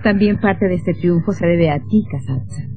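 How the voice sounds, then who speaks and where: A woman's voice: lowish voice, normal speed, phone-quality sound, soft background music, close to an everyday voice. A woman playing a devoted, anxious twenty-year-old daughter in an old radio drama, earnest and melodramatic. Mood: admiring.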